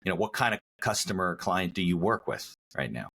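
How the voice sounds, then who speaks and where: Man, podcast host, low pitch, bright forceful tone, casual interviewer. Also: very animated, brisk, breathy edge. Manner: chimes in with short affirmations, finishes guest's sentences.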